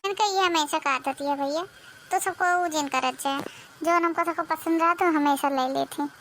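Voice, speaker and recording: A woman's voice: high-pitched, moderate pace, a bit bright, a little hiss or hum. A woman voicing an aggrieved young village daughter-in-law in a comedy cartoon, complaining with a rustic Awadhi accent. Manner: complaining, rustic, addresses viewers directly.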